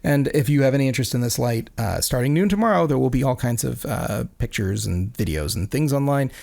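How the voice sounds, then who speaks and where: A man co-hosting a podcast, talking in a relaxed, hesitant way. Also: medium pitch, a little fast, creaky. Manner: relaxed, hesitant with fillers, lively intonation. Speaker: podcast co-host. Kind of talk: casual podcast chat.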